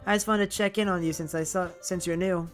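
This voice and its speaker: A man's voice: high voice, fairly even intonation, fast talker, faint music behind, natural voice. A man voicing a friendly, polite teenage schoolgirl who is class representative in a game, with a raised voice and some stumbles.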